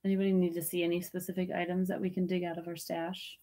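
A woman's voice: lowish voice, little pitch movement, fast talker, dark tone. A woman in her thirties casually co-hosting an online auction over a webcam in a small room, chatty and giggly while checking messages. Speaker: auction co-host. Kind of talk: casual livestream auction chat. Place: indoors, small room with tiki decor.

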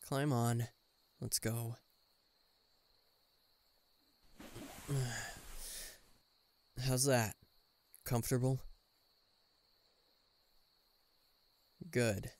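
A man's voice: mid-range voice, steady tone, slow, darkish tone, a little hiss or hum. A man playing a guarded government bodyguard in an intimate listener roleplay, dryly teasing and reluctantly tender. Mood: resigned, caring. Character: gruff, guarded male government bodyguard, secretly fond.

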